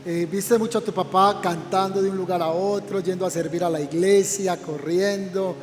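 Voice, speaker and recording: A man's voice: sits high, fairly even intonation, moderate pace, long reverb tail, a little hiss or hum. A man, a pastor, interviewing a guest in a church program with an encouraging pastoral manner.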